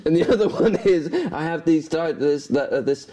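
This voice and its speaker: A man's voice: fairly high pitch, moderate pace, dark tone, a little hiss or hum. A man who is a comedian, chatting casually, rambling with many restarts and bursts of laughter. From Northern Ireland. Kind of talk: casual chat.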